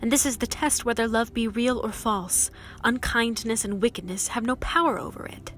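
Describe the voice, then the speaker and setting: A woman's voice: fairly high pitch, moderate pace, soft background music, lightly acted. A woman voicing a loving mother of small children in a storybook, tender and earnest. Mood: gentle, earnest. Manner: tender, gentle.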